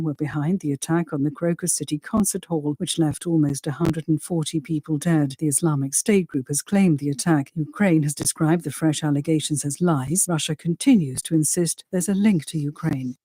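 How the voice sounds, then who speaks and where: Formal world news roundup, dark voice, dead dry studio sound. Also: medium pitch, no echo. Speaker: news reader. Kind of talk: relayed radio news roundup.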